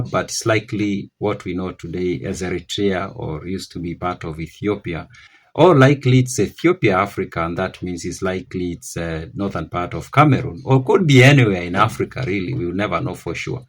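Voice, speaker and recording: A man's voice: deep voice, brisk. A man hosting a history channel, talking it through off the cuff, often stopping to correct himself. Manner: rambling, self-correcting, thinking aloud. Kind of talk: unscripted history talk to camera.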